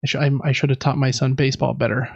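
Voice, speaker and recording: A man's voice: medium pitch, vocal fry, slightly rough, dry recording, muffled recording. A man in his thirties chatting casually as a podcast host, rambling along in a self-deprecating way. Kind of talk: casual chat.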